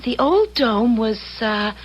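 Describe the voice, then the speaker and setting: An actress playing a spirited, wry female time traveler with expressive, exclamatory old-time radio delivery. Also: fairly high pitch, slow pace, breathy edge, muffled recording, full character voice. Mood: thoughtful, concerned. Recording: noisy old radio drama recording.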